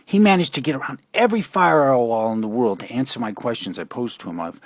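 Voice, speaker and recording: A man's voice: medium pitch, expressive, fast, no room sound, muffled recording. A man narrating a first-person radio story in a theatrical, brooding manner. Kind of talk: dramatic first-person fan fiction narration.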